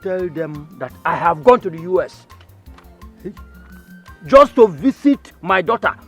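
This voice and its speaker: A man's voice: high-pitched, animated, unhurried, a bit bright, soft background music, heavily acted. A man playing an older village chief who scolds and talks evasively. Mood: agitated.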